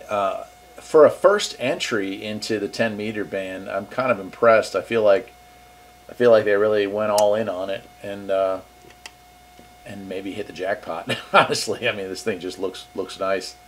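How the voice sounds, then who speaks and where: A man's voice: fairly low pitch, unhurried, rough recording. A man hosting a casual gear demo in an easygoing, upbeat way. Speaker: host reviewing radio gear.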